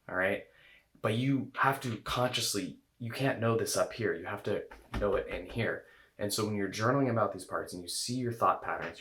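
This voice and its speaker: A man's voice: lowish voice, fairly bright tone. A man hosting and coaching on eating habits, talking loosely with fillers and restarts. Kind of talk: casual coaching talk to camera.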